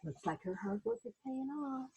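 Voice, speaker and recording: A woman hosts a picture book read-aloud for children. Her voice is very husky and soft-edged, with a mellow tone. She tells the story with lively, varied pitch and speaks directly to young listeners in small asides. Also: normal speed, rough recording.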